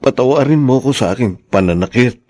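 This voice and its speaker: A man's voice: medium pitch, animated, fast, a little mellow, dry recording, natural voice. A man playing a humbled, remorseful husband and son in a Filipino radio drama. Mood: remorseful, pleading.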